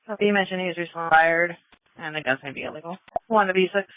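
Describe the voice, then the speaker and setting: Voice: mid-range voice, fairly even intonation, fast talker, no echo, phone-quality sound. A police dispatcher on a scanner radio, relaying and repeating messages in a clipped, businesslike way with radio codes. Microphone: two-way radio.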